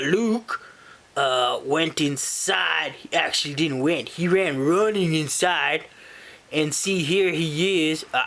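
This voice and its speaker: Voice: medium pitch, vocal fry, a bit bright, pitched below the performer's own voice. A person voicing a friendly, dim, rambling male storybook moose with a hesitant, folksy manner. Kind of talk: in-character picture book retelling to children.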